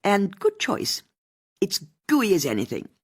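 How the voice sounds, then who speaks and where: A woman voicing a friendly young horsey upper-class woman with a cut-glass English accent, brisk, bright and open, in an audiobook. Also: medium pitch, huge pitch swings, breathy edge, pitched above the performer's own voice, heavily acted. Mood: cheerful.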